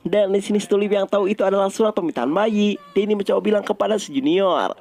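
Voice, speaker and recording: A man's voice: sits high, fast talker, a little mellow. A man narrates a story recap. His delivery is colloquial and conversational, like casual scripted storytelling for an online video.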